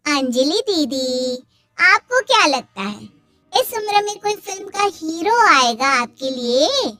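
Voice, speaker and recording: A woman's voice: sits high, very animated, slow, pushed and bright, exaggerated voice. A woman playing a taunting young sister-in-law in a cartoon, mock-sweet and needling. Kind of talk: Hindi cartoon dialogue.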